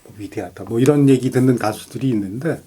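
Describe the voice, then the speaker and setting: A man's voice: medium pitch, fast, dark tone, slightly rough. A middle-aged man in casual conversation as a co-host of a music talk show, speaking effusively and repeating himself for emphasis. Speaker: podcast co-host.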